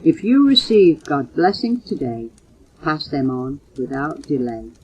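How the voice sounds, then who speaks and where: An older woman reading poems aloud in a measured, earnest way, recorded on a muffled old home cassette. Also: low-pitched, big pitch swings, slight background noise. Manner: measured, earnest recitation, announcing titles and authors. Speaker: mother reading poems for her children. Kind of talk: recited poetry reading.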